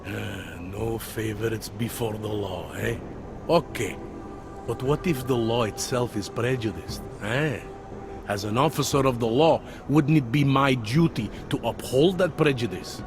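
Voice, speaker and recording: An actor voicing a world-weary, pragmatic police detective in a video game, with a wry, insinuating manner. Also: male voice, mid-range voice, measured pace, music in the background, close to an everyday voice. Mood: wry, cynical. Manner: wry, insinuating, tags questions with "eh" and "huh". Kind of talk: video game cutscene dialogue.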